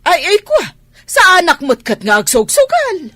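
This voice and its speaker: A woman's voice: very high voice, wildly swooping pitch, unhurried, sharp tone, dry recording, heavily acted. A woman playing an evasive, defensive grandmother in a radio drama, with an Ilocano accent. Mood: defensive, flustered. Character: evasive, defensive grandmother making flustered excuses.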